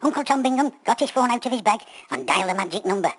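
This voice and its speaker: A woman, telling a children's pantomime story in an animated, chatty, colloquial way, speaking straight to her listeners. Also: on the high side, very fast, husky.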